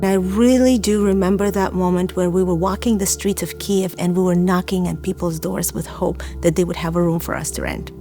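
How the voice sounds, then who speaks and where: A woman from Azerbaijan and Russia telling her personal story in an earnest, reflective, deliberate way. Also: lowish voice, fairly even intonation, dark tone, faint music behind. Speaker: church member giving testimony.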